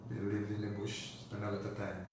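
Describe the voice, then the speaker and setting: A man's voice: on the low side, monotone, a little fast. A man with a Dominican accent, talking casually as an interview guest in a rambling, conversational way. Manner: rambling, often says "you know". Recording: very echoey room, distant mic, noisy.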